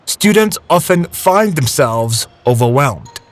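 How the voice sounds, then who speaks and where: A South African man hosting a podcast, narrating a scripted introduction in a measured, expressive way. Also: fairly high pitch. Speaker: podcast host.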